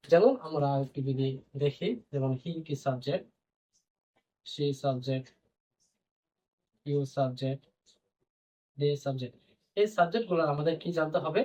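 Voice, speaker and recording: A man's voice: fairly high pitch, normal speed, pauses often. A man teaching a grammar lesson, repeating points and answering his own questions as he explains. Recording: dull, lo-fi band-limited sound.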